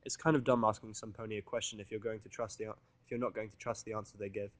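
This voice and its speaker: A man's voice: lowish voice, racing, no room sound. Sardonic man narrating, dry close sound. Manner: sardonic, grumbling, deadpan. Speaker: audiodrama reader. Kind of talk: first-person dramatic fan fiction narration.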